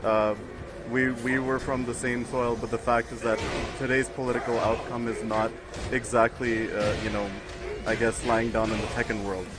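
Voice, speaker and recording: Young male guest commentator, rambling and laughing, mid pitch, loud game music underneath. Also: little pitch movement, moderate pace. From United States. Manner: rambling, laughs often, many fillers. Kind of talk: casual chat with stream chat.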